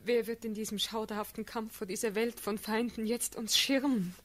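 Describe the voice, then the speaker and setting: A woman's voice: fairly high pitch, fairly even intonation, normal speed, close to an everyday voice. An actress playing an anguished, tender young noblewoman, speaking elevated, emotional verse in a classical radio play. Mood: despairing.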